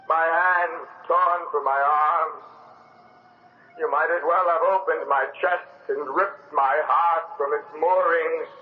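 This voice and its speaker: A vengeful ghost of a murdered young male pianist, speaking in a slow, drawn-out, menacing voice. He sounds hollow and echoey, as if from a 1970s radio play. The sound is narrow and phone-like. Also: a little high. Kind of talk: 1970s radio drama acting.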